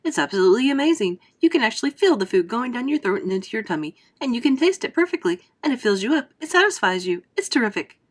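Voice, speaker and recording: A woman voicing an eccentric, excitable male candy inventor who boasts grandly about his latest invention. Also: a little high, fairly quick. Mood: excited.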